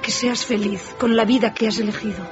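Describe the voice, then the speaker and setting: An actress playing a sorrowful, gentle young woman in a radio drama. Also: fairly high pitch, fairly even intonation, a little fast, dull audio, lightly acted. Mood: sad, resigned. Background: music.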